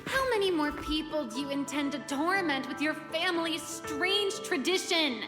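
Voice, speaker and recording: An actress playing a sharp-tongued woman among colleagues in a video game, with a theatrical delivery. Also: high-pitched, expressive, moderate pace. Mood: exasperated. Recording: echoing hall, loud music behind.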